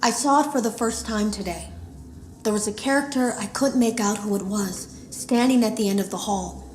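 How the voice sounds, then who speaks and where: An actress playing a troubled, suspicious game tester, confiding uneasily and matter-of-factly in a secret audio log. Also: female voice, medium pitch, normal speed, darkish tone, reverberant, slight background noise, lightly acted. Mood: resigned, uneasy. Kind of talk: scripted audio-log narration, naturalistic acting.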